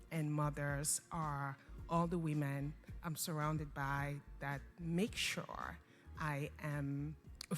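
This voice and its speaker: A young woman whose first language is French, a guest earnestly telling her story in an interview, with many fillers and restarts. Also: low voice. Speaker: guest, organization founder. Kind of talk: interview conversation.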